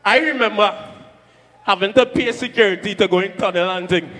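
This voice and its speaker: High bright man hyping a hall crowd. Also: fairly quick. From Trinidad. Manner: shouting hype calls to the crowd. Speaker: sound system selector. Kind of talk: hyping a live sound clash. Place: packed dance hall at a live event. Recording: live hall reverb with music.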